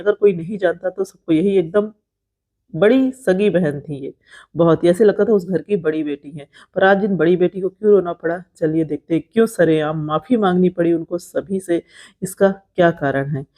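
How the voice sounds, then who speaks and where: A woman's voice: on the low side, moderate pace, warm tone. A woman with an Indian accent giving informal vlog commentary on creator gossip, explaining things conversationally to viewers and repeating phrases for emphasis. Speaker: vlogger commenting on creator drama.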